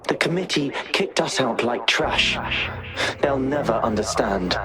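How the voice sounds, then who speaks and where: A man's voice: medium pitch, expressive, unhurried, natural voice. A man playing an obsessed, bitter researcher. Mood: driven. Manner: earnest, bitter, increasingly desperate. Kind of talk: video game cutscene acting. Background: music.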